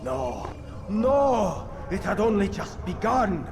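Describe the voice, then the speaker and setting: A man's voice: high voice, very animated, slow, background noise, heavily acted. An actor playing a cruel, power-hungry villain in a video game cutscene, gloating and savoring his words. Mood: desperate defiance.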